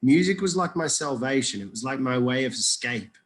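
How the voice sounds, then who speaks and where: A man's voice: medium pitch, animated, brisk. A man from Iraq, a guest telling his story in a casual interview, rambling and joking with many restarts.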